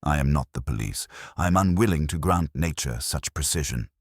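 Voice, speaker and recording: A man's voice: a bass voice, animated, a little fast, no echo, clean studio sound. A man voicing a stern, imperious detective genius in an audiobook, with a pompous, formal and accusatory way of speaking.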